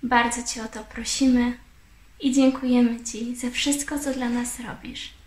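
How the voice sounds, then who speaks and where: Young woman, high voice, flat intonation, spoken prayer, echoey room. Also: measured pace. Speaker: person leading a prayer. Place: indoors, light-walled room. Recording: roomy indoor echo.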